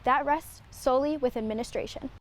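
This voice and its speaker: Student news reporter, female, young adult, higher voice, scripted report delivery. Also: fairly quick.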